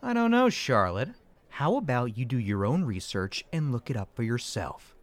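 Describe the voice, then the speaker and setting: A man acts a suspicious, aggrieved employee in an audio comedy. His mid-pitched voice jumps around in lively swings, defensive and ready to swear. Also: moderate pace, close to an everyday voice. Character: defensive, suspicious, foul-mouthed call-centre employee.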